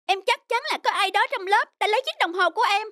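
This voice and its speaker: A woman's voice: extremely high pitch, fast, fairly bright tone, dry recording, clean studio sound. A woman voicing a proud, hasty little schoolboy in a Vietnamese cartoon, speaking in an emphatic, indignant way. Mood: accusing.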